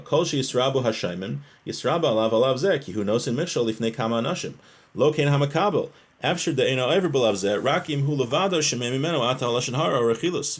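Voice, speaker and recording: A man with a mid-range voice teaches religious law. He reads passages aloud and then explains them in plain terms, rephrasing points and putting short questions to his listeners. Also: medium pitch. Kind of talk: religious lesson, reading a text aloud and explaining it.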